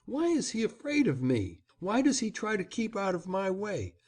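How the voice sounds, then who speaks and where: A man's voice: huge pitch swings, normal speed, a little mellow, exaggerated voice. A man reading aloud, voicing a defiant, resentful young boy who speaks coldly and insistently. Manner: cold and insistent, low intensity.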